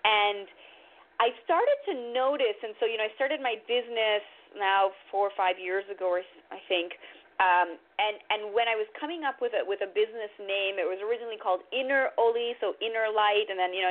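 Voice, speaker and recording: A woman's voice: mid-range voice, brisk. A woman coach, a native Tamil speaker, speaks earnestly and reflectively, often restarting her sentences. Her voice comes over a thin, tinny phone line. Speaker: guest coach. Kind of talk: live radio interview.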